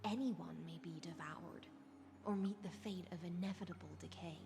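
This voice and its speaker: An actress playing a poised, philosophical sea-born warrior noblewoman in a video game, speaking in formal, measured phrases. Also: lowish voice, vocal fry. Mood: solemn. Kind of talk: scripted video game voice acting. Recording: game music behind the voice.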